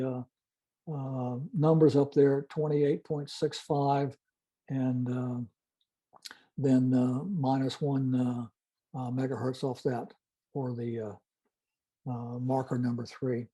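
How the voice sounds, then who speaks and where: An older man giving an informal technical presentation and demonstration, explaining methodically in a halting way. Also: medium pitch, flat delivery, mellow. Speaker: club presenter, retired-style veteran telephony engineer.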